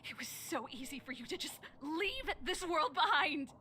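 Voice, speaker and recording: A woman's voice: high-pitched, expressive, normal speed, pushed and bright. A woman voicing an emotional, fiery young woman scientist in a video game. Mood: pleading. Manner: emotional, pleading.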